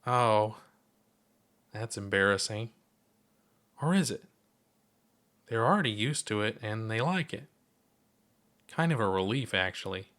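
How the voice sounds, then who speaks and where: A man's voice: lowish voice, expressive, unhurried, pauses often, fairly bright tone. A man voicing an anxious, self-conscious young woman in a story, hesitant and given to muttering. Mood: embarrassed.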